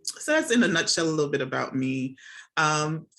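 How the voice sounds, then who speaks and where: A woman's voice: deep voice, very animated, normal speed, fairly bright tone. A middle-aged woman from Jamaica who moved to the States as a child, chatting casually as a podcast guest and often checking in with the listener. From born and raised in Jamaica, moved to the United States as a child. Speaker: podcast guest, nurse and academic. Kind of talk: casual conversational interview answers. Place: remote video call from home.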